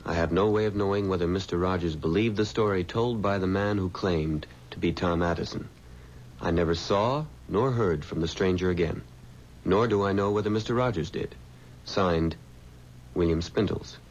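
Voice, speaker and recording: An actor playing a genial husband, measured and articulate, in a 1970s radio drama. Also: male voice, low voice, lightly acted. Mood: composed, curious. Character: genial husband reading out an old family letter. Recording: dull, hissy 1970s radio recording.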